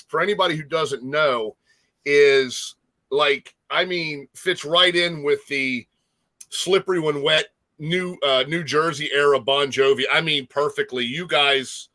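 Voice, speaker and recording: A man's voice: on the high side, unhurried, bright, forceful tone. A middle-aged man hosting a casual music interview, speaking in an effusive, admiring manner. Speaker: talk show host.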